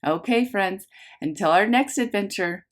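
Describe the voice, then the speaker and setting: A woman's voice: mid-range voice, unhurried. A woman teacher reading a picture book aloud to young children in a sing-song way, putting simple questions to them as she goes. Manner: animated, sing-song, simple phrasing addressed to small children.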